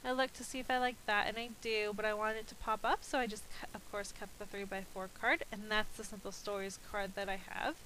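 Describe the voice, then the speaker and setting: A woman's voice: medium pitch, flat delivery, brisk, hardly any pauses, sharp tone, a little hiss or hum, poor audio. Chatty woman, slightly nasal from a cold. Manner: chatty and rambling, with frequent ums. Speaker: scrapbooking video creator. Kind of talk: casual voice-over narrating her crafting.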